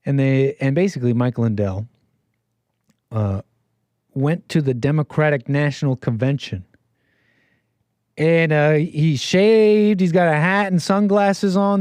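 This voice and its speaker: A man's voice: a little high, a little mellow, dry recording. An American man hosting a call-in show, rambling casually with lots of restarts and laughs.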